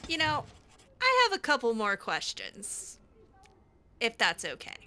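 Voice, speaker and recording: Female gameplay roleplayer, bright higher voice, sassy and blunt, casual improvised delivery. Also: huge pitch swings, measured pace, faint music behind. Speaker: gameplay roleplayer voicing own character's replies.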